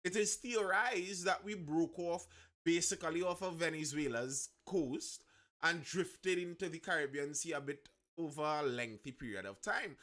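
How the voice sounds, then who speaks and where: A man's voice: fairly high pitch, normal speed, a bit bright. A man with a Trinidadian accent hosting a live news discussion, talking conversationally and often rephrasing his points. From Trinidad and Tobago.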